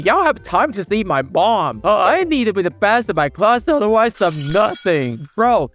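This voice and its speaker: A man's voice: high voice, fairly bright tone. A young man from the United States, chatting casually to camera as a travel vlogger, and he says "bro" often. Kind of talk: casual direct-to-camera commentary.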